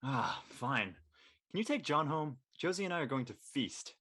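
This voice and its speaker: A blunt, teasing young guy acted by a man talks casually in an audio drama. The voice is husky and swings widely in pitch. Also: big pitch swings, normal speed, pushed and bright, exaggerated voice. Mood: blunt, friendly. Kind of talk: casual scripted dialogue.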